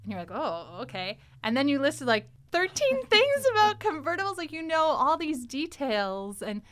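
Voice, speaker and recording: A woman hosting a podcast in casual, animated conversation. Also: high-pitched, normal speed, slight background noise. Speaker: podcast host.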